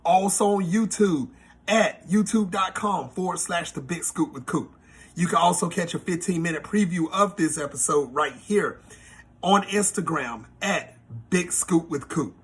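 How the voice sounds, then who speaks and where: A man's voice: sits high, measured pace, husky. A man hosting a podcast interview, talking in a loose, slangy, hype-host way.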